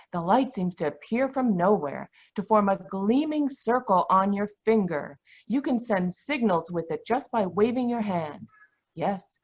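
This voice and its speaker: A woman announcer reading an old-fashioned radio advertisement, pitching eagerly to children. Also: highs cut off. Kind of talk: retro radio ad read. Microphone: home mic over video call.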